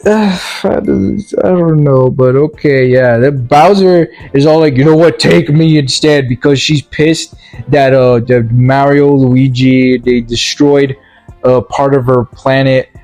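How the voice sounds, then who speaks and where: A man with a medium-pitched voice talks through a movie review in a loose, casual way. He stumbles and repeats words as he goes, slipping into mock-earnest sarcasm. Music plays behind him. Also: fairly even intonation, a little mellow, poor audio. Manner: halting, stammering delivery with repeated words. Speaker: video reviewer.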